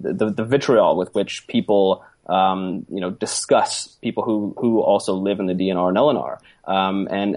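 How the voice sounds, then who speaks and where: A man speaking as an interview guest, hesitant and reflective, full of pauses and restarts. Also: on the low side, a little mellow. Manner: hesitant, many ums and restarts. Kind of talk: conversational interview.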